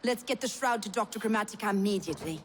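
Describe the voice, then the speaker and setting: A woman's voice: mid-range voice, quick pace, a little hiss or hum, natural voice. A woman playing a tough, urgent field operative in a cinematic video game.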